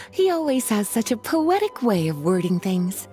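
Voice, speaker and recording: A woman's voice: a little high, very animated, slow pace, a little mellow, soft background music. Coy, teasing scholarly woman with lilting melody. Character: teasing, elegant young woman scholar, playful older-sister temper. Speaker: video game character voice. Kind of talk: scripted game dialogue acting.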